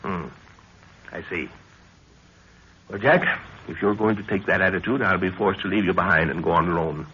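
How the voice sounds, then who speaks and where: An actor playing an older, obsessed and commanding scientist-explorer in a 1930s radio adventure serial, speaking in an emphatic, declamatory way. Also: male voice, deep voice, moderate pace, pauses often, bright, forceful tone. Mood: stubborn. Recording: dull, noisy 1930s radio recording.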